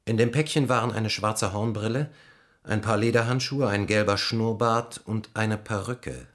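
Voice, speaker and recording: An actor playing a sober, businesslike police inspector in a radio play, formal and matter-of-fact. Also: male voice, lowish voice, moderate pace, a bit bright, close to an everyday voice. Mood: probing. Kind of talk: German radio play acting.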